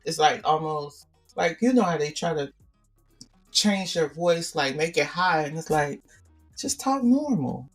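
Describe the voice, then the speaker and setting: A woman with a deep voice, co-hosting a casual podcast chat and pressing her points with repetition. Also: expressive, a little slow, far from the mic, soft background music. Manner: opinionated, repeats points. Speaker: podcast co-host.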